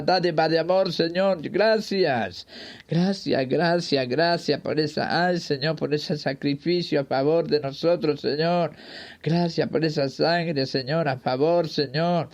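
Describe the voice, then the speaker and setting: A man leading a fervent spoken prayer, pleading in short repeated invocations. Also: on the high side. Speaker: prayer leader.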